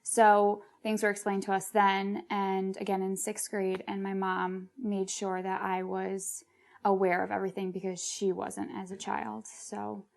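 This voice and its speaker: A woman's voice: mid-range voice, monotone, a little slow, gravelly, a little mellow. A young woman telling an interviewer about growing up in a matter-of-fact way. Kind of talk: personal interview recollection.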